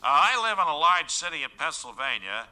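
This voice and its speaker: A man playing an anxious, literal-minded caller to a radio advice show, halting and plaintive in a comedy sketch. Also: fairly high pitch, very animated, sharp tone, heavily acted, poor audio.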